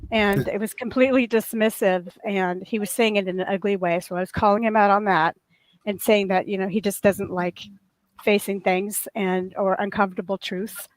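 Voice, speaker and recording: A soft-spoken woman whose voice turns crackly and a little raw. She talks in an earnest, rambling way, circling back to fix her phrasing. The sound is close and dry, with no room around it. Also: mid-range voice, steady tone, normal speed, dry recording. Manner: earnest, rambling, self-correcting. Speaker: caller telling her story. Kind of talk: casual group chat.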